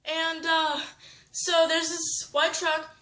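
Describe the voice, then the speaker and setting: A woman with a high, bright voice tells a story at an unhurried pace. She rambles, restarts often and gets animated as it builds. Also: a little hiss or hum. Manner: rambling with many restarts and fillers; animated, breaks into sound effects. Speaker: vlogger telling a story. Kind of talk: casual storytelling chat.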